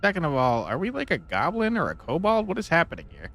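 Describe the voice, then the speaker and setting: A man's voice: a little high, wildly swooping pitch, quick pace, creaky, fairly bright tone. A man giving casual, wry let's play commentary as he reacts to a game.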